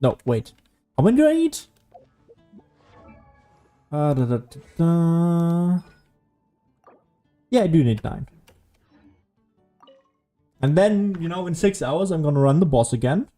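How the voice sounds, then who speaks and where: A man's voice: a little high, very animated, slow pace, long pauses, dark tone, soft background music. Casual man rambling through gameplay commentary. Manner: rambling, thinking aloud. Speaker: gaming streamer.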